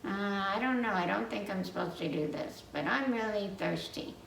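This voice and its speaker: A woman's voice: mid-range voice, lively intonation, normal speed, gravelly, a bit bright. Woman playing a hungry little boy ghost, roomy sound. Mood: tempted, self-justifying. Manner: pleading, self-justifying. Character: hungry young boy ghost, tempted and rationalizing. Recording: roomy, distant mic with faint noise.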